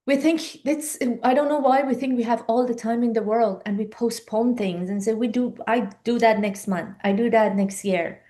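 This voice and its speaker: A woman's voice: on the high side, normal speed, few pauses, warm tone. A woman, a coach giving a live online talk in an earnest, urging way full of rhetorical questions. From Iran. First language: Persian.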